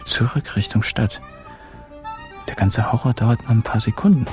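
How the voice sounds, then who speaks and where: A man's voice: mid-range voice, moderate pace, over music, natural voice. An actor playing a wry, irreverent man who tells a crime story in colloquial present tense for a radio play. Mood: wry storytelling. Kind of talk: colloquial first-person radio drama narration in present tense.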